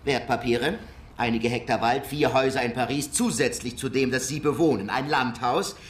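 Measured male detective played by an actor. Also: mid-range voice, a little hiss or hum, natural voice. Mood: stern, probing. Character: dry, dutiful male police detective, the chief's deputy. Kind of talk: German radio crime drama acting.

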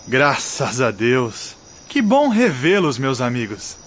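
Animated, devout medium. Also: male voice, mid-range voice, slow, a little hiss or hum. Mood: relieved joy. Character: devout, humble, kindly male medium visiting spirits. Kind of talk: radio drama acting. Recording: dull recording with night cricket ambience.

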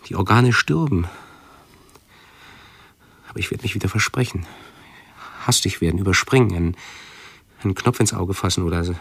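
An actor playing an anxious hypochondriac who broods aloud in a German radio play, pedantic, halting and often interrupting himself. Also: male voice, fairly low pitch, breathy edge, no echo. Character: anxious hypochondriac man obsessively rehearsing pleas to doctors.

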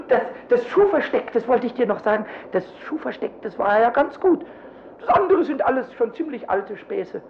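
Little impish goblin, squeaky, scratchy, excitable stammer, lively swings, muffled noisy old radio drama recording. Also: male voice, roomy sound, heavily acted. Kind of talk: German children's radio play.

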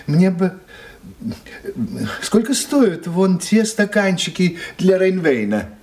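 An actor playing a polite, romantic young gentleman in an audio drama, with a husky voice and a hesitant, wry manner. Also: high voice, expressive, slow pace, full character voice.

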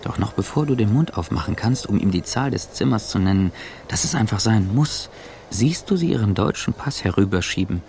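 An actor playing an anxious, self-accusing traveller in a radio drama, speaking an intimate, brooding inner monologue. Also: male voice, on the low side, animated, brisk, darkish tone, a little hiss or hum. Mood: absorbed, tense. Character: anxious, self-accusing male business traveller, inwardly narrating.

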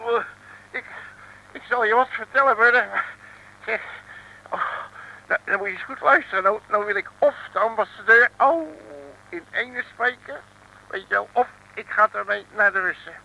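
An actor playing a blustering, streetwise detective with a Dutch accent in an old comic radio serial, exasperated and full of slangy interjections. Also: male voice, high-pitched, normal speed, creaky, pushed and bright, dull audio, heavily acted. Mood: exasperated, threatening. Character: blustering, streetwise Dutch male private detective, excitable and indignant. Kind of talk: 1950s-60s comic radio serial acting. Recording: noisy vintage radio recording.

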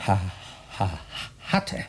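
An actor in a German radio play, playing a once-stuttering comedy figure who now calls himself a philosopher and the angel of death, speaking in theatrical declaiming rhymes. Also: male voice, very slow, a little hiss or hum. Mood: smug. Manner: theatrical, declaims rhymes, switches languages in farewells. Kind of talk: 1990s radio play acting.

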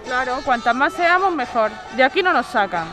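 A loud crowd and music sit behind an actress as a high-voiced woman neighbour. She is steady and reassuring, a protester in a radio drama. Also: moderate pace. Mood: steady, urgent.

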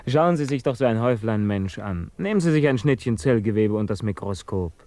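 Actor as a mellow, brooding young scientist. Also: male voice, fairly low pitch, expressive, a little fast, dry recording, natural voice. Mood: bitter. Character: young male scientist, guilt-ridden, defiant, brooding. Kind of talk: German radio drama dialogue.

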